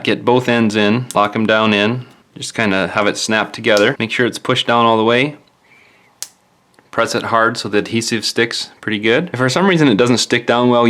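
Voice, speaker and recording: Male tutorial presenter, lowish voice, informal and matter-of-fact, walking viewers through hands-on steps. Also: fairly bright tone. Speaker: DIY phone repair presenter. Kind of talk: casual step-by-step tutorial narration.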